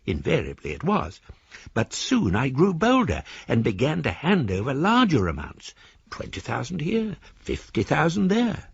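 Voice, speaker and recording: A man's voice: fairly high pitch, gravelly, husky, dry recording, muffled recording, exaggerated voice. An actor playing an older maverick, wryly courteous and pedantic, reading his letter aloud in a radio drama. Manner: pedantic, mischievously formal, genteel. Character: Julius Hutch, elderly eccentric maverick, wryly courteous letter writer.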